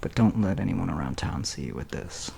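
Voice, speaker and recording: A man's voice: deep voice, animated, a little fast, gravelly, exaggerated voice. A man voicing a laid-back teenage metalhead game master in a whispered ASMR roleplay, over a noisy hiss.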